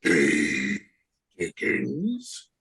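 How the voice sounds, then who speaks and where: A man plays a sinister anonymous phone caller in a stage play reading. The voice is gravelly and very slow, swinging widely in pitch as he taunts and threatens in a breathy growl. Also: huge pitch swings, sharp tone, exaggerated voice. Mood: slow menace. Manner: slow, drawn-out, ominous delivery. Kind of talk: play table read.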